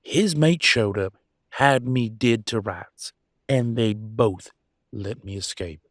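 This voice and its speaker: Man acting a low-voiced, defiant werewolf alpha. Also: no echo, clean studio sound. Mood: insistent. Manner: emphatic, colloquial, with dramatic pauses and swearing. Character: Damien, conflicted male werewolf pack alpha, defiant and remorseful. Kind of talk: scripted audio roleplay, one side of an argument.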